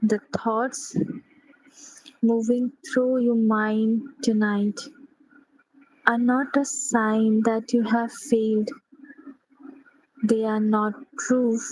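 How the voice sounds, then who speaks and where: A woman leading a guided sleep meditation in a gentle, unhurried manner, pausing between short phrases. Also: a little high, flat delivery, no room sound, poor audio.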